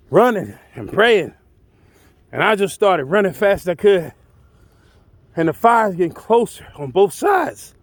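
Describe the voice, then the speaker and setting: A man's voice: high voice, no echo. A man telling a first-person tall tale in a colloquial, exclamatory, dramatic way. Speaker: storyteller.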